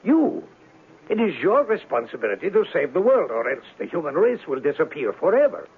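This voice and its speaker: An actor in a 1970s radio drama playing a grandiose, urgent herald of a great mission, with a raspy voice and pompous, theatrical delivery. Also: male voice, fairly high pitch, sing-song, fairly quick, slight background noise. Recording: phone-like vintage radio recording.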